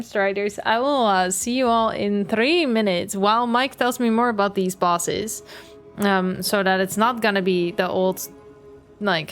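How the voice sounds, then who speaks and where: A woman's voice: medium pitch, fairly bright tone. Playful woman streamer. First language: Dutch. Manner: chatty, playful, greets chat. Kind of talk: live gameplay commentary.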